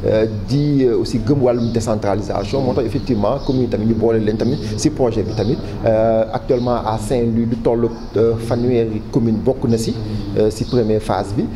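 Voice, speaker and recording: A man with a Senegalese accent giving formal interview answers as a project official, halting often and repeating words. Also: mid-range voice, steady tone, a crowd in the background. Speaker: official spokesman for a public waste management project. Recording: clearly noisy background ambience.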